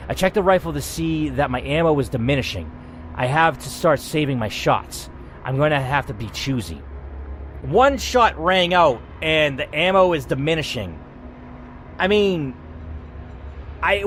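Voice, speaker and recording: Male gamer with clear background noise. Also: medium pitch. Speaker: gamer hosting a playthrough. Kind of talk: reading story game text aloud with casual commentary.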